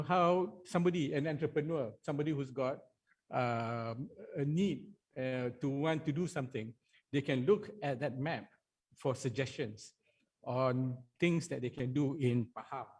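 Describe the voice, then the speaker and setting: A man on a forum panel adding a point, hesitant with many fillers. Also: medium pitch. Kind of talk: panel discussion.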